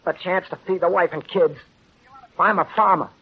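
An actor playing a bitter, desperate out-of-work farmer, accusing and impassioned in 1930s radio drama style, on a muffled recording. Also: male voice, high voice, moderate pace, husky. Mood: furious, desperate.

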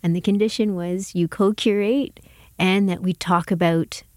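A woman with a fairly low voice conducts an arts interview. She is articulate and earnest, and the recording is very dry with no room sound. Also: steady tone, normal speed, darkish tone, no room sound. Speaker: interviewer and exhibition curator. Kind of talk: scripted introduction and conversational interview.

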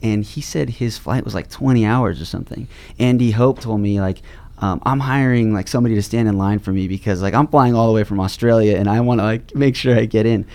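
A low-pitched young man hosting a podcast, with a gravelly, creaky edge to his voice. He talks in a relaxed, loose way, packing his rambling questions with fillers. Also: a little fast. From California. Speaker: podcast host. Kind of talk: casual interview chat.

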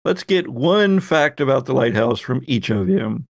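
Guy game master, slow and measured, kinda pausing as he makes stuff up, very dry recording. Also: mid-range voice, animated, gravelly, dry recording. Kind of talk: running a tabletop story game, narrating off the cuff.

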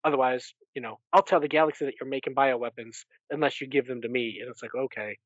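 A man's voice: mid-range voice, fairly even intonation, fast, no echo. Enthusiastic, rambling man, dry slightly dull recording. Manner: rambling, enthusiastic retelling with acted-out asides. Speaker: podcast host. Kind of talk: casual book recap discussion.

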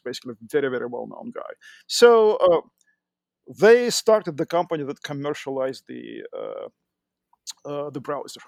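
A middle-aged man from Russia with a Russian accent, a podcast guest telling his career story with many pauses and fillers. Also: mid-range voice, a little mellow, dry recording. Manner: hesitant, many fillers and restarts. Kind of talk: interview conversation.

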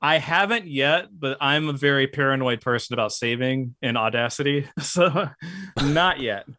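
A man in casual chat who talks in a steady, even way, with lots of likes and fillers. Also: medium pitch, moderate pace, no room sound, studio quality. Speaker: podcast guest, YouTuber. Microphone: close mic, hands bump it.

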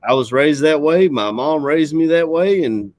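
A man's voice: fairly high pitch, lively intonation, normal speed, no echo, clean studio sound. A man with a Kentucky accent hosting a casual talk show, speaking earnestly and in a rambling way. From Kentucky, USA. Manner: earnest, rambling, frequent "you know what I'm saying". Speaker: show host. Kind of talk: casual podcast chat.

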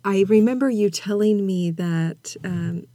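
A woman's voice: medium pitch, a little slow, dark tone, dry recording. A woman hosting a podcast, speaking in a presenter's style for introductions and interview talk. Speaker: podcast host. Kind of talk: scripted narration and warm-up interview chat.

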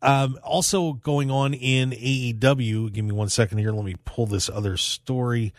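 A man's voice: medium pitch, steady tone, moderate pace, no room sound, clean studio sound. A man hosting a radio show, talking casually about sports news in a rambling way and repeating phrases for emphasis.

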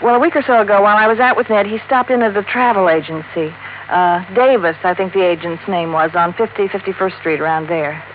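A woman's voice: mid-range voice, brisk, natural voice. Female actor as tough American club singer, world-weary, guarded, wry, old radio drama, tinny recording with faint noise. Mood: alarmed. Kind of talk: 1950s radio drama acting.

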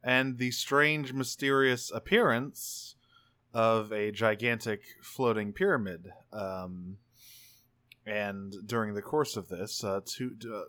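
A man casually co-hosting a tabletop role-play podcast, halting and repeating words as he narrates. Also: fairly low pitch, steady tone, pushed and bright, no room sound. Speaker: podcast co-host.

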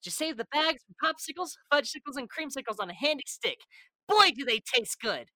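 A woman playing an eager, wholesome all-American boy, gushing excitedly in an old-time radio advertisement. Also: high voice, brisk, no room sound, exaggerated voice.

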